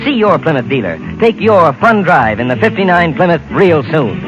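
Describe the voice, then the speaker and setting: Muffled old radio recording, male commercial announcer, highly animated, 1950s style, music behind. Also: moderate pace.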